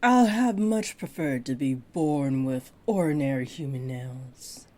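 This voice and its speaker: A woman's voice: very deep voice, animated, a little slow, darkish tone. A woman voicing a flirty, possessive half-dragon librarian in a roleplay audio, speaking in a coy, playful drawl. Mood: chatty, gently flirty. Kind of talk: roleplay audio acting addressed to the listener.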